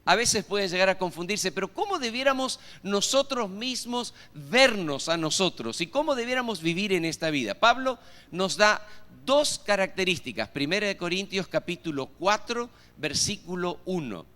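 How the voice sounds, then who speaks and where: High, bright Argentine pastor preaching. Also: male voice, lively intonation, moderate pace. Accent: Argentine Spanish. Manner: asks the congregation rhetorical questions. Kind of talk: sermon. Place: church hall. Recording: reverberant church hall.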